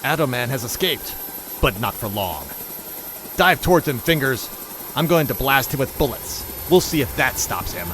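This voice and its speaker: An actor playing a menacing, sneering crime boss with taunting, theatrical delivery in a melodramatic radio play. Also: male voice, mid-range voice, animated, moderate pace, a bit bright, a little hiss or hum. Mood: vengeful. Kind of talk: melodramatic old-time comic-book radio drama acting.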